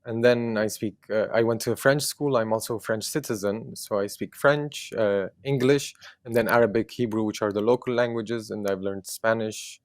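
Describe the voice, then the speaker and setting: A man's voice: on the low side, steady tone, moderate pace, few pauses, no room sound, studio quality. A man from Jerusalem whose first language is Armenian, speaking earnestly as an interview guest, with many fillers and restarts. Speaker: activist guest.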